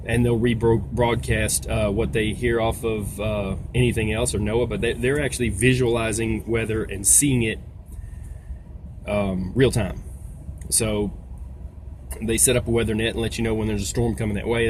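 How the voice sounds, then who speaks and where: A man giving a casual, folksy explainer as a vlog host, talking in a rambling way. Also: medium pitch. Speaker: video host, trucker. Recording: noisy, vehicle-like background sound.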